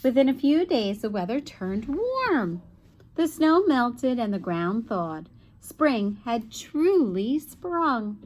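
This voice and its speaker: A woman's voice: a little high, big pitch swings, slow, a little mellow, rough recording. A woman teacher reading a children's picture book aloud expressively, with chatty asides to young listeners.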